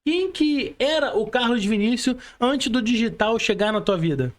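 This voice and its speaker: High-pitched Brazilian man hosting a podcast. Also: animated, moderate pace. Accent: Brazilian Portuguese. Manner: chummy, informal address with slang. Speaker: podcast host and interviewer. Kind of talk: casual podcast interview.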